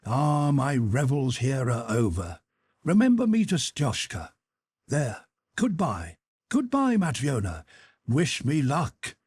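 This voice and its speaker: A man's voice: mid-range voice, huge pitch swings, slow pace, darkish tone, breathy edge, dry recording, clean studio sound, heavily acted. A man reading aloud voices an impulsive young nobleman, eager and theatrical. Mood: farewell.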